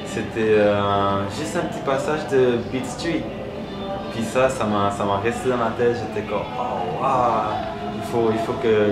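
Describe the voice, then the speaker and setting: A man's voice: lowish voice, distant mic. A young man, answering interview questions in a dance studio, hesitant with many fillers and restarts. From Montreal. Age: 20s. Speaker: breakdancer. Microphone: camera close to the face. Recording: echoey studio room with loud music.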